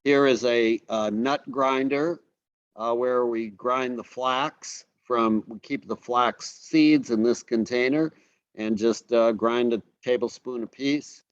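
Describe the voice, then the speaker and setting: A man presenting food photos in a casual online class, relaxed and halting with many fillers. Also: medium pitch, clean tone, dry recording, muffled recording. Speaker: host presenting slides.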